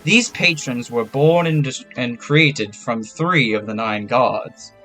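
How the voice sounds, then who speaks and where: A man's voice: mid-range voice, takes it slowly, sharp tone, low-quality recording. A young man running a tabletop game as game master, describing scenes casually. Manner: casual, loose descriptions with fillers. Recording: faint background music.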